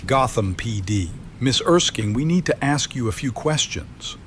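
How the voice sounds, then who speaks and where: A man playing a menacing, gravel-voiced thug posing as a police officer in an audio drama. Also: medium pitch, lively intonation, measured pace, fairly bright tone, slight background noise.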